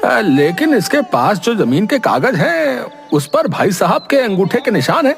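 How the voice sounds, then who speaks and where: Corrupt village council chief played by a man, deliberate and officious, cartoon folk tale, light music underneath. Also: high voice, big pitch swings, creaky, exaggerated voice. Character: crooked, bribed village headman passing judgment. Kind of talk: animated moral-story dialogue.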